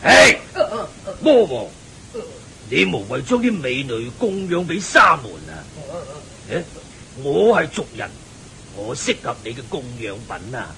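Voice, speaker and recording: An actor playing a wise, shrewd old lay sage with a measured teaching manner in a radio drama. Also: male voice, sing-song, gravelly, pushed and bright, exaggerated voice, low-quality recording. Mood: bold, exposing. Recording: faint rumbling hiss.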